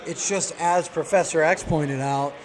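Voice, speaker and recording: Fairly high-voiced man discussing sports. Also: steady tone, unhurried, a little hiss or hum, poor audio. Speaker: sports radio show co-host.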